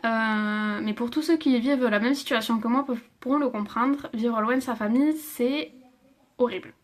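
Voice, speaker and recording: A woman's voice: on the high side, moderate pace. Hesitant young Frenchwoman from Toulouse. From France. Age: 20s. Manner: hesitant, many fillers, laughs and reads viewer comments. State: emotional, near tears. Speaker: livestreamer. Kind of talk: personal story on a live stream.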